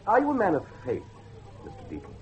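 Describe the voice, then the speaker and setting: Ominous, muffled manor man with swinging pitch. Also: huge pitch swings, fast, darkish tone, slight background noise. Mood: ominous, foreboding. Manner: ominous, formal. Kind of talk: gothic horror drama acting.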